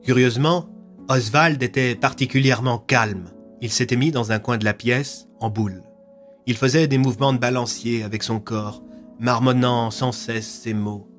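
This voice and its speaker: A man's voice: medium pitch, fairly even intonation, moderate pace, soft background music. A man narrating a scripted audio fiction in a storytelling style.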